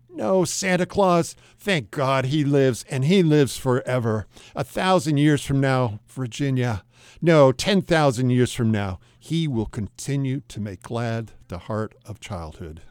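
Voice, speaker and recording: A man's voice: mid-range voice, darkish tone, dry recording. A man giving an earnest, theatrical recitation of old-fashioned sentimental prose, leaning emphatically on key words as a guest reader. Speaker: guest reader on a radio show.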